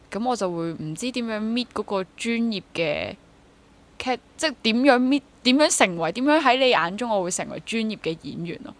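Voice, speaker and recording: A woman's voice: mid-range voice, a little hiss or hum. A young woman with a Hong Kong Cantonese accent talking casually as an interview guest, with English words mixed in. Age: young adult. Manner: mixes English words into speech. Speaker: interview guest, stage actor.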